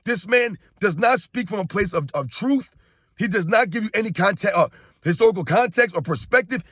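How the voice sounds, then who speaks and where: A hoarse man in his thirties, a sports YouTuber delivering a casual, emphatic opinion rant straight to his viewers and calling them guys. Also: high voice, expressive, a little fast, fairly bright tone, no room sound, studio quality.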